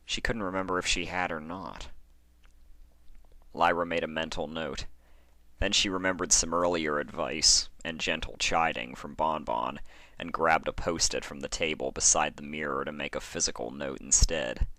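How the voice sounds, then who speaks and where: A man reading a horror story as narrator, in a measured and dramatic storytelling manner. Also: extremely low pitch, pushed and bright, no echo. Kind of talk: scripted narration of horror fan fiction.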